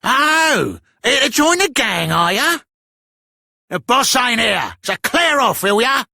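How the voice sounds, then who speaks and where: A woman's voice: on the high side, sing-song, slow, gravelly, pushed and bright, no room sound, studio quality, full character voice. A woman playing a tough, dismissive gang member in a video game. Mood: hostile, mocking. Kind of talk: theatrical video game voice acting.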